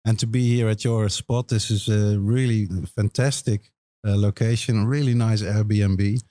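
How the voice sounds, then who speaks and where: A middle-aged Dutch man, a podcast co-host, chatting casually and slowly with many pauses and fillers. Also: lowish voice, steady tone, warm tone, no echo. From Netherlands. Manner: unhurried, hesitant with many "uh" fillers. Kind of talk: casual chat.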